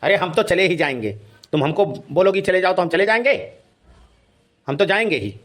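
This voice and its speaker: A man plays an officious cartoon village policeman with a high-pitched voice. He questions and lectures people, though in a fairly reasonable way. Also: animated, normal speed. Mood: mildly indignant. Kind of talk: cartoon comedy dialogue.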